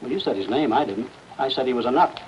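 A grumbling, middle-aged night watchman played by a man with a mid-pitched voice. He is offhand and evasive, drifting off the point in a 1950s TV drama. The old film soundtrack carries a faint noise. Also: lively intonation, fast, darkish tone, highs cut off, lightly acted. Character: stubborn, evasive working-class night watchman fussing over lunch. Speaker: actor playing a watchman.

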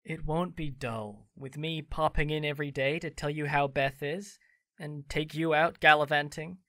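A man's voice: fairly high pitch, steady tone, moderate pace, bright, forceful tone, dry recording. A man playing a charming teasing young man. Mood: coaxing. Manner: coaxing, playful. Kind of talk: amateur audio drama acting.

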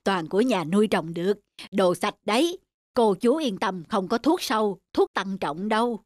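A woman playing a humble, generous older country relative in an animated family drama, homely and reassuring. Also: mid-range voice, moderate pace, no room sound, clean studio sound, close to an everyday voice. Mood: cheerful.

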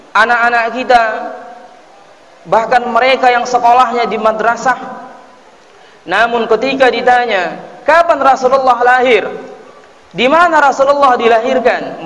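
High-pitched man preaching in echoing hall. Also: fairly bright tone. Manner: exhorting, formal oratory. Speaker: preacher delivering Friday sermon. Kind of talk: sermon. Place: tiled mosque interior, at the pulpit. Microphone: mounted microphone at the pulpit. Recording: echoey hall, distant amplified voice.

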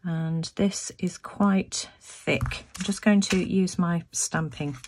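A woman with a croaky voice talking through a craft demonstration at her table, thinking aloud as she works and often repeating words. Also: lowish voice, takes it slowly, noisy background. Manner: chatty, thinking aloud with restarts. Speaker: craft video host. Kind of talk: casual craft tutorial narration. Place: home craft room, tabletop.

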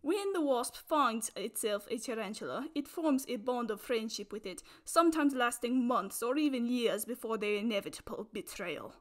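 A woman putting on a prim British nature documentary narrator voice, hosting a parody nature vlog with pompous, mock-solemn deadpan. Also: fairly high pitch, moderate pace, few pauses, lightly acted. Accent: put-on British. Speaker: host.

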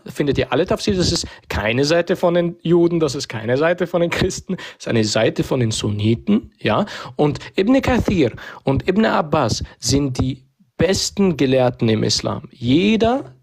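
A man hosting a livestream debate, pushy and rapid-fire in his questions. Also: a little high, sing-song, breathy edge. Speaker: livestream host. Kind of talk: heated debate chat.

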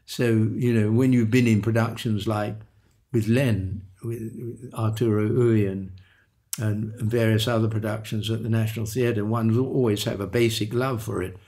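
An older man, a veteran voice actor, reminiscing in an interview with a halting, repetitive way of talking and soft chuckles. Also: on the low side, steady tone. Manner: halting, repeats words, chuckles softly.

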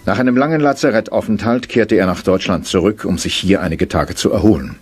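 A man's voice: on the low side, brisk, a little mellow, slightly rough, faint music behind. A man narrating a radio drama in a scripted storytelling style.

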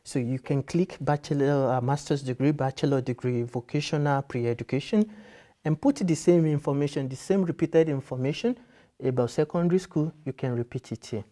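Mellow-voiced guy, first language yoruba, walking folks through a uni form, repeats stuff patiently. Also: medium pitch, normal speed. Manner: patient, explanatory, repeats and rephrases with restarts. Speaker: university admissions guide. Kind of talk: screen-share tutorial walkthrough. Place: office with computers, indoors.

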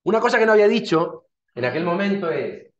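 A man's voice: high-pitched, lively intonation, fast talker. A male university lecturer, explaining slides informally with everyday examples and frequent restarts. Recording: dull, roomy lecture recording.

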